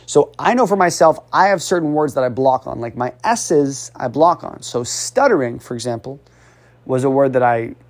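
A male speech coach talks through his methods in a loose, conversational way. He repeats himself for emphasis and sighs between phrases. His voice jumps up when he urges the listener on. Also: a little high. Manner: animated, repetitive, urging, with audible sighs. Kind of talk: casual coaching talk.

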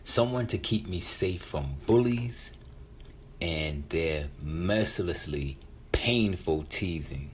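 A man's voice: deep voice, animated, takes it slowly, slight background noise. A middle-aged man telling a personal story reflectively, drawing out phrases for dramatic emphasis. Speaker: featured storyteller.

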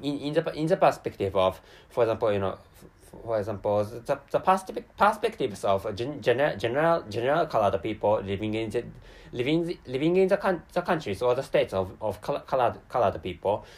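A man from Japan with a Japanese accent, a native Japanese speaker, giving an unscripted podcast monologue in a very halting, rambling way with stammered repeats of words and syllables. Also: mid-range voice, sing-song. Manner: rambling and digressive. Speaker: podcast host.

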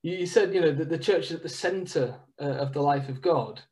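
Guy hosting a church interview, kinda high voice, upbeat, keeps prompting the guest. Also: fairly quick, a little mellow. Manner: encouraging, prompting questions. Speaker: host, church leader.

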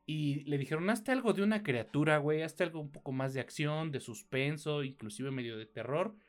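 A man with a Mexican accent and a slightly high voice co-hosts a review podcast. He talks casually, in an exasperated rant, with lots of slang and cursing. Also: brisk. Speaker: podcast co-host.

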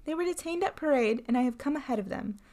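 A woman's voice: high-pitched, animated, fast, dark tone, voice pushed up. A woman voicing a concerned, eager sister in a storybook tale, speaking earnestly.

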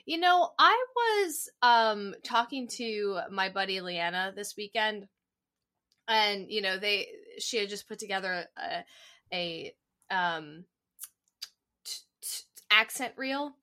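Playful lady podcast host, bright tone, takes her time, laughs a lot. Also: medium pitch, lively intonation, slow pace. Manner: giggly, playful. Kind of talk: casual chat.

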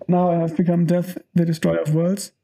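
A man's voice: on the high side, monotone, moderate pace, vocal fry, mellow. A young adult man co-hosting a podcast, chatting casually about films, rambling, joking and often trailing off mid-sentence. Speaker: podcast co-host. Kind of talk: casual film-discussion chat.

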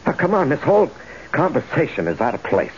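A man's voice: on the high side, big pitch swings, fairly quick. An actor playing an anxious, ambitious husband and company man, stammering and pleading in a radio drama. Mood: agitated, impatient. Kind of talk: 1970s radio drama acting. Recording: dull, hissy old radio broadcast.

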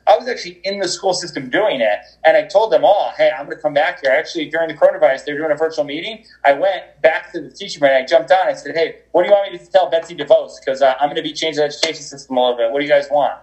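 A man's voice: a little high, rapid-fire, few pauses, low-quality recording. Rambling man guest on a call. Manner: rambling, restarts, many fillers. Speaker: podcast guest. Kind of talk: energetic conversational interview. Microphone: remote call.

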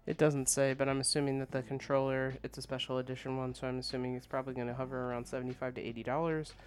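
A man's voice: medium pitch, level tone, racing. A man co-hosting a gaming news podcast, giving casual commentary in an informal, conversational way.

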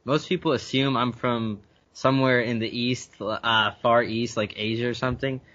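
A man's voice: mid-range voice, fairly even intonation, normal speed, a bit bright, highs cut off. A young man, a university student from Guyana, answering interview questions earnestly and repeating words as he thinks. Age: young adult.

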